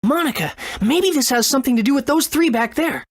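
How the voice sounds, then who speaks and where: A woman voicing an earnest, gentle young boy hero in a video game, with a husky tone. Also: high voice, lively intonation, fairly quick, no room sound, clean studio sound. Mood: questioning.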